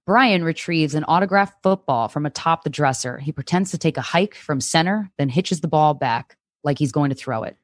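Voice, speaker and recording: A woman's voice: low-pitched, moderate pace, few pauses, no room sound, clean studio sound. A woman reading stage directions as scripted narration.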